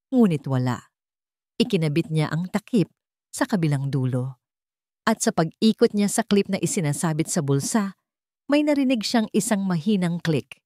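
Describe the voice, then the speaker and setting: A woman's voice: on the low side, dark tone, no echo, clean studio sound. A woman with a Filipino accent, narrating a scripted love story in a dramatic storytelling style.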